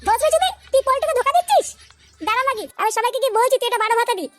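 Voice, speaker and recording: A performer voicing a brash, crude, excitable cartoon boy, in a shrill, pitched-up voice. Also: very fast. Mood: outraged. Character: foul-mouthed cartoon boy, loud, cheeky, excitable drinking pal. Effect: pitch-shifted up. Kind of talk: comic cartoon dubbing.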